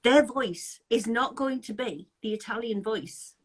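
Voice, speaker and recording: A woman's voice: on the high side, unhurried, husky. Chatty, laughing woman from England. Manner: chatty, laughs often, trailing restarts. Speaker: interviewer, anti-scam group host. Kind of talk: casual live-chat interview.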